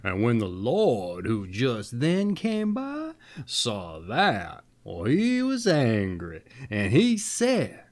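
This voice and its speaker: A man in his thirties tells old fairy tales in a theatrical, folksy way. His pitch leaps up and down dramatically, and he takes his time, stretching words for emphasis. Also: wildly swooping pitch, slow. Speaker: storyteller, show host. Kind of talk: expressive fairy-tale reading with folksy asides.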